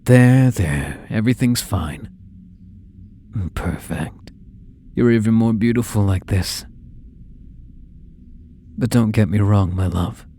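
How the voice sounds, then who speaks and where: An actor playing an obsessive, possessive young male stalker in an intimate ASMR roleplay, coaxing softly with falsely tender menace. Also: fairly low pitch. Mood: soothing, menacing. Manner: soft, coaxing, menacing, slow and deliberate.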